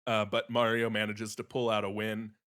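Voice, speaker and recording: A man on a podcast panel giving a casual improvised pitch, often pausing as he searches for words. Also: monotone, fairly bright tone, smooth. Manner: halting, pausing to find words.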